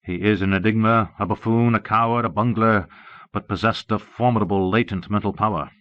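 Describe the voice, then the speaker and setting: A man's voice: fairly low pitch, steady tone, a little fast, dry recording, close to an everyday voice. A man voicing a scheming, possessive disembodied evil mastermind in an audiobook, commanding, grandiose and darkly doting. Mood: brooding. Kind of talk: audiobook character voice. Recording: muffled old cassette audiobook.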